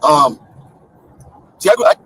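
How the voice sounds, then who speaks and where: A man born in Puerto Rico and raised in Boston, calling in and chatting casually, quick and repetitive. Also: a bit bright. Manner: fast, chatty, repeats himself. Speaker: caller. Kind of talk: casual chat.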